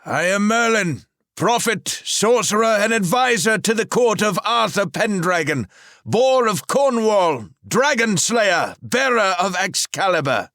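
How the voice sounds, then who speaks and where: A man voicing a grand court wizard who declaims his titles slowly and proudly. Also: sits high, big pitch swings, bright, forceful tone, dry recording, studio quality, voice pushed up, exaggerated voice. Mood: grandiose. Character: grand, boastful wizard and royal advisor. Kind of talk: audiobook character voice.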